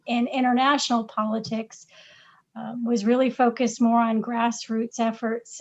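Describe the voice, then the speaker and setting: A woman's voice: a little high, a little mellow, lo-fi sound. A middle-aged woman from Massachusetts answering podcast questions about herself, hesitant with many fillers and restarts. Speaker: podcast guest, parent. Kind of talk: casual interview answers.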